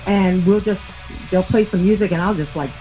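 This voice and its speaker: A woman's voice: mid-range voice, fairly quick, dark tone, low-quality recording. Chatty upbeat woman, faint music behind. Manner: upbeat, chatty, many fillers. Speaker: band's lead singer, guest. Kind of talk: casual radio interview.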